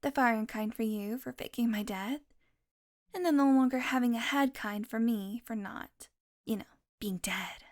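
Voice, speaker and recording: A woman's voice: on the high side, moderate pace, lightly acted. A woman playing a haughty, sardonic female vampire on the run in an audio roleplay, teasing and exasperated as she talks straight to the listener. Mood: dry, exasperated. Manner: sarcastic, teasing, exasperated sighs.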